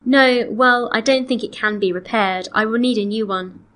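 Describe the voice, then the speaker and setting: A woman playing a polite customer on a complaint call, reading scripted dialogue in a measured, clearly enunciated way. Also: a little high, a bit bright, lightly acted. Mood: polite, matter-of-fact. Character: polite woman customer phoning to report missing, damaged antiques. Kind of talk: scripted listening-test dialogue.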